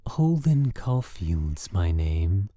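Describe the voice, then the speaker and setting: A woman's voice: extremely low pitch, animated, slow pace, warm tone, no echo, studio quality, exaggerated voice. A woman voicing a young man in an audiobook who feigns a suave, mature, polite manner. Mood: suave, coaxing.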